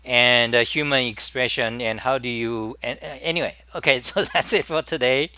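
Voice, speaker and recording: A man with a Chinese accent hosting a live-stream talk, speaking in halting bursts with many restarts and repeated words. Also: medium pitch, bright, forceful tone, smooth, no echo. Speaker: host.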